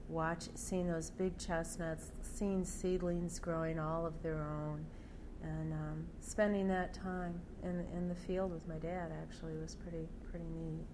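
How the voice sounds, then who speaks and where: A soft-voiced older woman with a lowish pitch tells her story in an interview, pausing and restarting often. Steady background noise hums under her voice throughout. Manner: halting, with many fillers and restarts.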